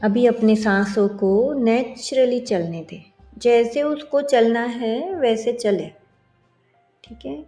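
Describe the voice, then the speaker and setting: A woman leading a guided meditation as a yoga instructor, soothing and gently instructing with long pauses. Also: on the high side. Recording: roomy echo. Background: soft music.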